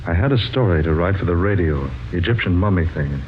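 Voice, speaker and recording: An actor playing an earnest, troubled writer in 1940s radio drama, measured, sombre and reflective. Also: male voice, low voice, expressive. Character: earnest, troubled male pulp writer telling his story. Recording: muffled, noisy 1940s radio recording.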